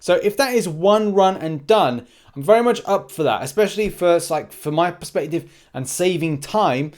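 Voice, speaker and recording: A man's voice: high voice, animated, normal speed, darkish tone. A man hosting a gaming video, talking through game news in a casual, unscripted way, rambling and thinking aloud in short asides.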